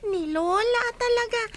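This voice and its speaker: An actress playing a cheeky but timid village child in an Ilocano radio drama, with an Ilocano accent. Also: female voice, very high voice, fairly bright tone, exaggerated voice.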